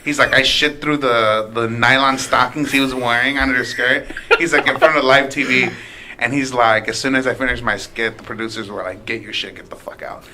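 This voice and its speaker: Late-twenties guy, bright tense voice, rambling swearing storyteller, faint ambient noise. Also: medium pitch, steady tone, fairly quick. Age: late 20s. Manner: rambling, profane, many restarts. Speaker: podcast guest, aspiring comedian. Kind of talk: casual storytelling chat.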